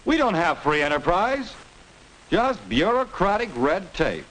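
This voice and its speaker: Griping american guy, high voice swinging all over, indignant, 1940s film interview. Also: sing-song, unhurried, a bit bright, heavily acted, low-quality recording. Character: indignant citizen griping about government meddling. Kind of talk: 1940s staged street interview acting.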